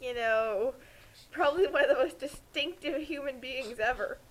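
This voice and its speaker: A woman's voice: sits high, normal speed, rough recording. A woman giving casual, joking commentary as a co-commentator.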